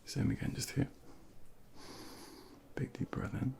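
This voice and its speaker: A man speaking softly and breathily in an ASMR medical role play as a junior doctor, gently reassuring and giving step-by-step instructions into a close binaural microphone. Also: unhurried, a little hiss or hum. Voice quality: breathy, whispery, with heavy creak.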